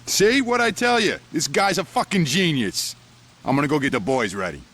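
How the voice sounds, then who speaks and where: An actor playing a tough, protective street gang leader in a video game, blunt and streetwise. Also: male voice, on the high side, lively intonation, moderate pace, fairly bright tone. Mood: confident. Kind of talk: video game cutscene acting.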